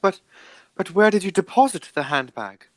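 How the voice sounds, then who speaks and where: A man playing an earnest, anxious young gentleman in a radio play, formal and insistent. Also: high voice, sing-song, normal speed, no room sound. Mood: urgent, agitated.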